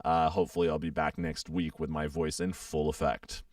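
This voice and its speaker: A young man hosting a casual radio show, hoarse and froggy as he gets over a sore throat, chatting in a rambling way with lots of fillers. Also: very deep voice, flat delivery, moderate pace, darkish tone, no room sound, clean studio sound, far from the mic. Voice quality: froggy, gravelly.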